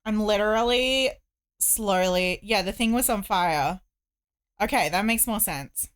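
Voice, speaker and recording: A woman's voice: medium pitch, unhurried, clear, resonant tone, dry recording. A woman hosting a casual livestream, chatting in a rambling way and thinking aloud as she goes. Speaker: livestream host. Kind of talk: casual livestream chat while crocheting.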